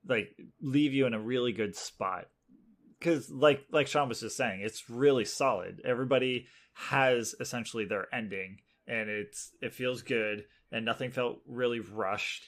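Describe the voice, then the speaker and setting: A man's voice: mid-range voice, fairly bright tone. Man explaining casually as podcast co-host. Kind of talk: casual pop culture discussion.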